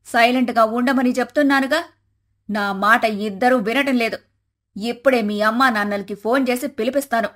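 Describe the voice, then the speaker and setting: A woman voicing an exasperated, bossy older mother-in-law in an animated family story. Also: fairly high pitch, moderate pace, natural voice. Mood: exasperated, scolding.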